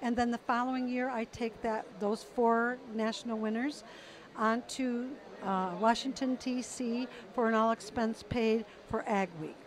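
A woman's voice: a little high, steady tone, a little slow. A middle-aged woman answering interview questions about an awards program, informative with some fillers, on a handheld mic in an exhibition hall. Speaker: program representative.

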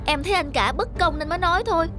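A man voicing a hot-headed, aggrieved younger peasant brother in an animated folk tale, complaining stridently. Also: very high voice, racing, music in the background. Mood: aggrieved, protesting.

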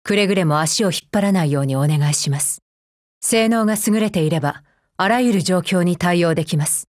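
A woman's voice: fairly low pitch, fairly quick, no room sound, clean studio sound, lightly acted. A woman playing a cold, efficiency-minded female combat android in a mobile game, speaking formally in a clipped, stern, unemotional way. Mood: businesslike, composed. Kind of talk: scripted mobile game character voice lines.

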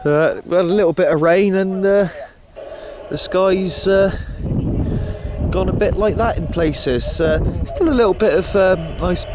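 A man's voice: high-pitched, measured pace. A man talking casually as a CB radio operator, recorded in and around a parked vehicle. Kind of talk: casual radio chat and log commentary. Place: inside a parked vehicle and outdoors nearby. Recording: clear background noise on camera audio.

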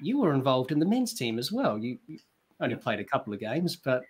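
Young man, podcast interviewer, average pitch, casual, agreeable, home video call. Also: sing-song, a little fast, breathy edge. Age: young adult. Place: indoor room at home.

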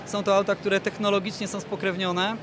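A man in his thirties giving a promotional interview as a PR manager, speaking in a measured way with many drawn-out hesitations. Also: high voice, little pitch movement. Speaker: car brand PR manager, interviewee. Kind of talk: promotional product presentation in an interview. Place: large indoor car show hall. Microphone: handheld interview microphone held toward him. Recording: noisy busy exhibition hall background.

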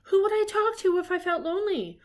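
A friendly young puppet boy from a storybook, read by a woman. He muses earnestly in a gee-whiz way and worries easily, with lively pitch. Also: a little fast. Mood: fond, earnest.

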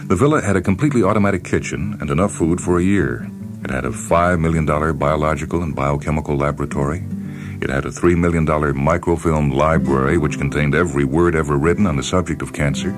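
A man narrating a short story in a measured, deliberate, dry deadpan way, as a scripted dramatic reading. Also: extremely low pitch. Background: background music.

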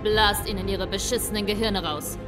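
A woman playing a domineering, taunting female villain in an audio drama, slow and commanding. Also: medium pitch, fairly even intonation, over loud music, lightly acted. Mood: cold menace. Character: menacing, domineering woman villain leading faceless soldiers. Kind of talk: German audio drama acting.

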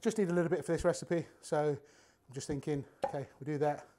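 A man, his voice sitting a little high for a man. He chats easily while chopping and grating in a home kitchen, talking straight to the viewers. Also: a little fast, background noise. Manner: chatty, relaxed, talks while working with his hands. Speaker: cooking show host. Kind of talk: casual cooking demonstration to camera.

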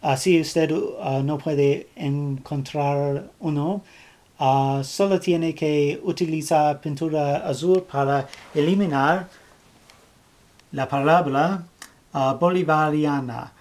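A man speaking Spanish as a second language, giving a step-by-step craft tutorial, with many fillers, restarts and pauses as he searches for words. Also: a little high, darkish tone. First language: not Spanish. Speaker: flag-craft tutorial maker. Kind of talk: halting how-to narration.

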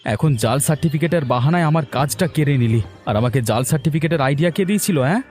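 Guy playing a pushy young village son in a cartoon, schemer type, mellow tone, bit of music behind. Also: on the high side, animated, fast talker, natural voice. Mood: angry, defiant. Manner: argumentative, pushy. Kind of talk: cartoon moral-story acting.

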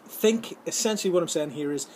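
A man's voice: fairly high pitch, a little fast. Middle-aged man explaining, slight outdoor noise. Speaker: host giving voice-over advice. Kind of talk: informal tutorial to camera. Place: outdoors in a sunny garden or patio. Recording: outdoor ambient background noise.

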